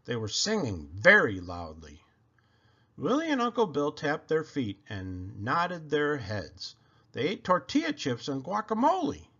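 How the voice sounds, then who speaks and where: A man reading a children's book aloud with expressive, emphatic delivery. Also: fairly high pitch, a little slow, slightly rough, dull audio. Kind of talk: animated storybook read-aloud narration.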